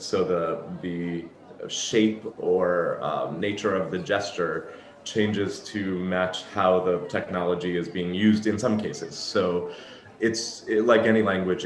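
A man who works as a sign language interpreter, answering interview questions in a thoughtful, reflective way with frequent restarts. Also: low-pitched. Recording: echoey room.

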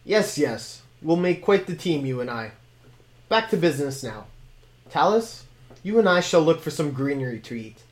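A man's voice: a little high, sing-song, moderate pace. Man voicing a wry talking sword. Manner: formal, wry, commanding. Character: talking sword, former male blade master, wry.

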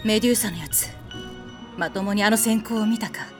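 A woman playing a haughty, quick-tempered warrior goddess in an audio drama, with blunt, commanding, masculine phrasing. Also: mid-range voice, over music, close to an everyday voice. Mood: grim. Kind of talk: anime-like audio drama acting with narration.

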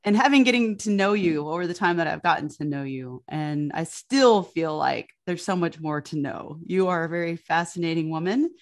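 A woman hosting a fitness podcast with effusive, enthusiastic energy, warmly welcoming a guest. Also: fairly low pitch, moderate pace, hardly any pauses. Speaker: podcast host and fitness coach.

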